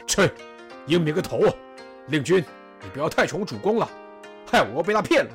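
A woman playing a blunt, gruff male general in an audio drama, complaining and protesting. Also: low voice, sing-song, fairly quick, soft background music. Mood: grumbling.

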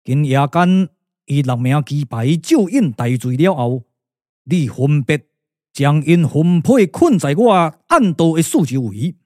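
A man voicing a grave older lord and strategist in a puppet radio drama, speaking slowly and with authority. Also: a little high, lively intonation, darkish tone, no echo, studio quality, pitched below the performer's own voice. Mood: grave, commanding. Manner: slow, deliberate, authoritative.

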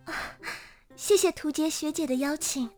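女配音員扮演音調極高的嬌滴滴女孩. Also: female voice, high-pitched, normal speed, faint music behind, pitched above the performer's own voice, natural voice. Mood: coy, delighted. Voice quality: soft, dainty. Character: sweet, coy, delighted young girl.

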